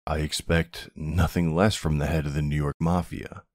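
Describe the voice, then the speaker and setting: A man playing a tender but commanding mafia boss husband in an ASMR roleplay, with a low, gravelly, husky voice. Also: flat delivery, moderate pace, no echo, clean studio sound. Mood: soft, serious.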